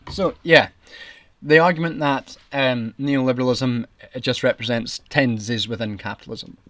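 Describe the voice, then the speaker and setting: A young Scottish man streaming commentary, ranting in an indignant, sarcastic, argumentative way, his voice getting raspy. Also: medium pitch, measured pace, a bit bright.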